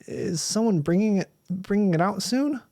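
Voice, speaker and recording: A man's voice: high voice, expressive, moderate pace, mellow, no room sound, clean studio sound, pitched above the performer's own voice. A man voicing a nervous young boy in a storybook horror tale, stammering and hesitant.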